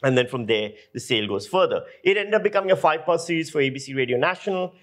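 A man's voice: fairly high pitch, lively intonation, fast, a bit bright. Emphatic man explaining from experience. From Pakistan. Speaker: guest lecturer and audio journalist. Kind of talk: explanatory interview talk with anecdotes.

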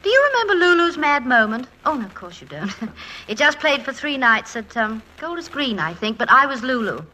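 An actress playing a poised, teasing, affectionate middle-aged wife and former stage star, in a playful, theatrical 1940s radio style. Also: female voice, sits high, very animated, a little fast, pushed and bright, heavily acted. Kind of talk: 1940s radio comedy-drama acting. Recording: noisy 1940s radio recording.

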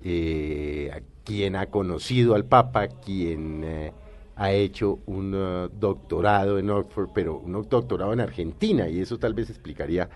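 A man hosting an interview, introducing the guest with many pauses and fillers, then asking eager follow-up questions. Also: deep voice, darkish tone. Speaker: interview host. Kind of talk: TV interview host.

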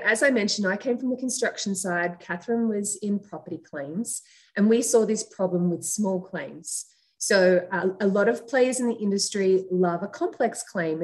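An Australian-accented woman speaks as a founder being interviewed. Her voice sits at a medium pitch, and she answers in a conversational way. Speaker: company co-founder and CEO, podcast guest.

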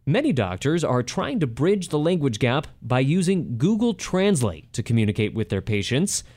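A man's voice: mid-range voice, big pitch swings, normal speed, a little mellow, no echo. A man hosting a radio interview show, speaking in a conversational, emphatic presenter style. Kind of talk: scripted radio introduction and interview.

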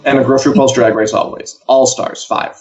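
A man's voice: mid-range voice, steady tone, a little fast, darkish tone. A man co-hosting a casual entertainment live show, talking in a wry, emphatic way. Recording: dull, lo-fi audio.

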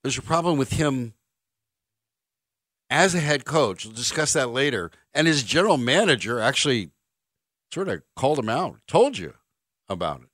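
A man hosts sports talk radio, his delivery blunt and sarcastic. His pitch swings widely as he rants. The studio mic sounds close and dry. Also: very animated, normal speed, pauses often, fairly bright tone, no echo. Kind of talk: opinionated sports radio monologue. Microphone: studio microphone.

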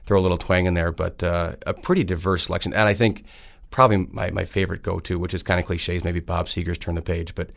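A man's voice: low voice, fairly even intonation, quick pace, vocal fry. A man in his thirties from Wisconsin, answering interview questions in a friendly, rambling way with many fillers. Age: 30s. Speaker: state senator.

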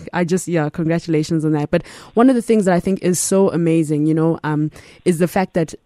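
A woman interviewer with a mellow, warm tone asks long, enthusiastic questions that wander through plenty of fillers. Her voice sounds close and dry, with no room around it. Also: low voice, brisk, dry recording. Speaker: host. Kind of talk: casual interview talk.

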